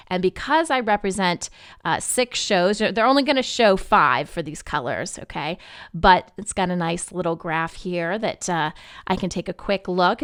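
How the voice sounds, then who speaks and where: A woman giving a conversational, unscripted software walkthrough, explaining things directly to the listener and checking in as she goes. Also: mid-range voice, clear, resonant tone, no room sound, studio quality. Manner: explanatory, addresses listeners directly with check-in tags like "okay" and "right". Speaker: podcast network manager presenting a tutorial.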